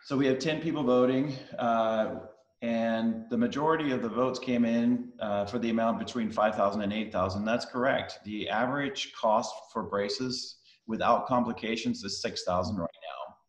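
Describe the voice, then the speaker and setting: A middle-aged man from Denmark whose first language is Danish, giving a casual, halting talk on a video call with many fillers and restarts. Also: medium pitch. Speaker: guest speaker. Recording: roomy echo on a video call.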